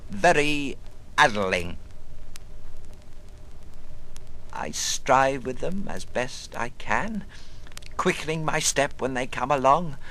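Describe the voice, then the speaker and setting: An actor playing an old, half-mad, bitter but harmless wanderer in a dramatic monologue, rambling in broken phrases with sudden outbursts. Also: male voice, medium pitch, animated, slow, creaky, a bit bright, a little hiss or hum, heavily acted, low-quality recording. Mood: agitated. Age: older. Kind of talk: dramatic literary monologue reading.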